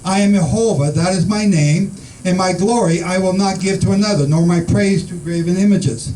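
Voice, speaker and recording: A man's voice: high-pitched, normal speed, warm tone, far from the mic, a little hiss or hum, low-quality recording. A man teaching an informal Bible study to a small group, reading scripture aloud and asking his listeners questions. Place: room with a small group in the Philippines.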